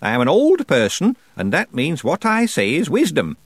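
A man voicing an ancient female witch, stern and sly, in a slow, drawn-out, lofty manner for an audiobook. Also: on the high side, wildly swooping pitch, breathy edge, dry recording, voice pushed up, exaggerated voice. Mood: mock-solemn.

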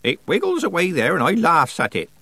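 A man's voice: on the high side, huge pitch swings, brisk, sharp tone, slightly rough, no echo, voice pushed up, exaggerated voice. A man voicing a cheerful, stubborn old cottager in an audiobook, speaking in rustic dialect. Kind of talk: audiobook character dialogue.

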